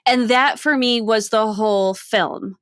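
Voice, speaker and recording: A woman's voice: fairly high pitch, measured pace, clear, resonant tone, no room sound, clean studio sound. A woman co-hosting a podcast, talking casually about film in an explanatory way, often checking in with "right?". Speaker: podcast co-host.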